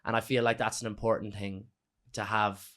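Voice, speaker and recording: A young man with an Irish accent, a band member chatting as a guest on a podcast, loose and animated, swearing freely. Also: fairly low pitch, a little fast. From Ireland. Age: young adult. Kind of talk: casual chat.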